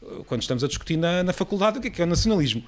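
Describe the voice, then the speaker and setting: Young portuguese guy, talks really fast, stumbles and restarts while explaining stuff. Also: high voice, sing-song. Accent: European Portuguese. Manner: explanatory, many restarts and hesitations. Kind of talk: casual podcast discussion.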